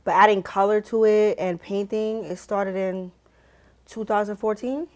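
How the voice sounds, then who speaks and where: A woman's voice: mid-range voice, steady tone, unhurried, a little mellow. A young woman from the Bronx, a laid-back, slangy artist answering interview questions. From the Bronx, New York. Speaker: artist, guest.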